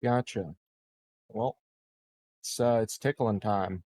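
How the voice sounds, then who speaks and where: A man's voice: mid-range voice, big pitch swings, slow pace, a little mellow, dry recording. A man chatting casually as a player in a tabletop roleplaying game.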